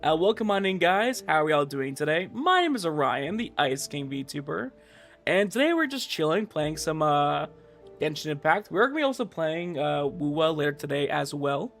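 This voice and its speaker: A man's voice: fairly high pitch, sing-song, normal speed, fairly bright tone. A man streaming a video game, chatting casually and loosely with his viewers in a rambling, repetitive way. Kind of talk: casual livestream chat. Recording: game music in the background.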